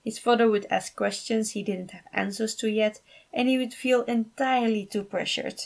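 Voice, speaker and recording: A woman's voice: fairly high pitch, fairly even intonation, normal speed, vocal fry. A woman reading scripted fan fiction aloud as its narrator.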